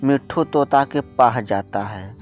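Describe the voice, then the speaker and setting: A storyteller narrates a scripted children's tale. The delivery is slow and measured. Faint instrumental music plays behind the voice. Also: mid-range voice, highs cut off.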